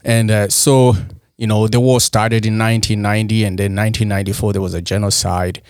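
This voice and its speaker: A low-pitched young man from Rwanda speaks as a podcast guest. He is soft-spoken and halting, often restarting his sentences. The sound is close and dry. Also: flat delivery, smooth, no room sound. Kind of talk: personal story in a podcast interview.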